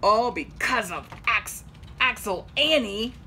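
A woman's voice: mid-range voice, big pitch swings, takes it slowly, a bit bright, a little hiss or hum. A woman voicing a grumpy, complaining male bus driver in a storybook, whining and grumbling. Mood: whiny. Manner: whiny, grumbling.

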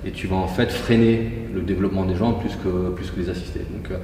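A man's voice: low-pitched, fairly even intonation, fairly quick, dark tone, slight background noise. A man in his forties, a guest in a casual interview, explaining his routine methodically step by step. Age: 40s. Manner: methodical, talks it through step by step. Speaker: guest, gym entrepreneur. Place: large indoor gym hall. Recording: echoey gym hall from far off.

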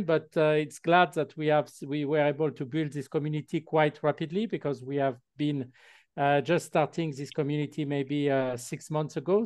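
A man's voice: fairly high pitch, darkish tone, no echo, studio quality. A man co-hosting an online meeting, talking with many fillers and restarts over a video call. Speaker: meeting co-host.

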